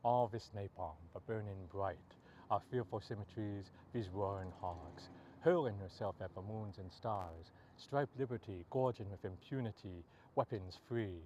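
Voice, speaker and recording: A man reading poetry aloud as a poet, in measured, deliberate short phrases. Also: fairly low pitch, darkish tone, slight background noise. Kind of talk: poetry reading, recited free verse.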